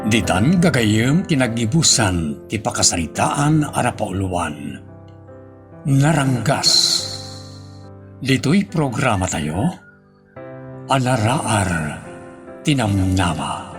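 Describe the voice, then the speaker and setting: A man narrating a scripted radio drama sign-off with measured, drawn-out announcing. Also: on the low side, very animated, soft background music.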